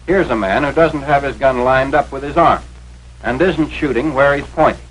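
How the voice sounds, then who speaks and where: An American man with a mid-pitched voice narrates in the manner of a 1940s military training film. His delivery is authoritative and instructional, with clipped, formal phrasing. The vintage soundtrack carries a light layer of noise. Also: moderate pace, rough recording.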